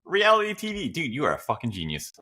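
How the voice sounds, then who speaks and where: A man's voice: a little high, wildly swooping pitch, fast talker, bright, forceful tone. An American man bantering casually as a co-host, playful and wry, swearing freely. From United States. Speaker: podcast co-host.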